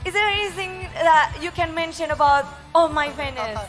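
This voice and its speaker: A woman's voice: very high voice, moderate pace, music in the background. A woman co-hosting an esports interview, upbeat and friendly. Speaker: esports co-host. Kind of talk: post-match interview.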